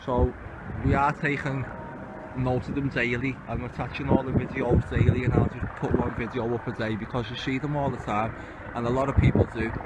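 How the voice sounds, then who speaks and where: A Scouse man talks off the cuff to his viewers in a rambling, suspicious, sweary way, at a mid-range pitch. He is recorded outdoors on a handheld device, with very loud wind and traffic noise all over his voice. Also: little pitch movement, a little fast. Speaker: host of an amateur video channel. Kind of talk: casual off-the-cuff vlog commentary. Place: outdoors in the city. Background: loud wind and vehicle noise.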